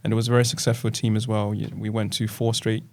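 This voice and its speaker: A young man from Zimbabwe, a trainee lawyer, talking through his career path on a podcast in a thoughtful, easygoing way with frequent soft chuckles. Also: on the low side, little pitch movement, fast talker. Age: young adult. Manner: thoughtful, chuckles often, fillers like um and uh. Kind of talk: casual interview chat.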